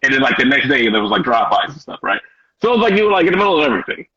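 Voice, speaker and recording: Dc comedian guy in his 30s, chill slangy storytelling, kinda raspy, muffled lo-fi sound. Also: medium pitch, big pitch swings, very fast, pushed and bright. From Washington, D.C. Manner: laid-back slangy storytelling with frequent "you know". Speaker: stand-up comedian, guest. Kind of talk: casual interview chat. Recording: dull lo-fi audio.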